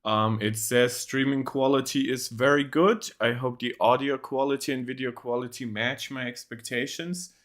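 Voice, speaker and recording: A man's voice: medium pitch, steady tone, normal speed, hardly any pauses, fairly bright tone. A young man hosting a casual tech reaction livestream from a home setup on a desk mic, rambling with many ums and restarts.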